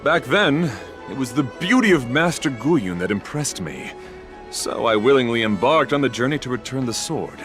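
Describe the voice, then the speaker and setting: Male actor as earnest, gallant game knight; mid-pitched, expressive melodic delivery, formal and ornate, over soft music. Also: moderate pace, lightly acted. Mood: impassioned, sorrowful. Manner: courtly, flowery, formal diction. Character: chivalrous, earnest young male knight devoted to beauty. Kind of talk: theatrical video game voice acting.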